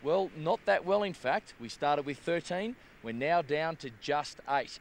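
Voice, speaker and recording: Upbeat Australian man presenting over loud background noise. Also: a little high, animated, unhurried. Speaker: presenter of a travel documentary. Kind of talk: upbeat presenting, partly to camera, partly scripted narration. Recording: noisy outdoor location sound.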